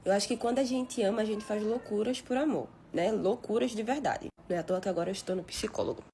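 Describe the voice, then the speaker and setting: A woman, talking casually and defensively to her followers, blunt and expressive. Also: mid-range voice, fast, a little hiss or hum. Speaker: reality show participant. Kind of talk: casual social media video.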